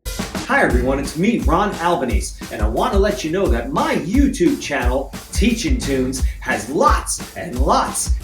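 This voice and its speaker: A man's voice: on the high side, very animated, unhurried, faint music behind. A man hosting a children's read-aloud, exuberant and exclamatory, drawing words out for emphasis.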